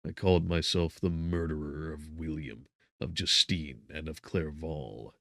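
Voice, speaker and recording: A man reading a gothic audiobook in a solemn, measured, dramatic way, with brooding pauses. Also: very deep voice, creaky, sharp tone, no echo, clean studio sound. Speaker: audiobook narrator. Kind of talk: dramatic scripted narration of gothic literature.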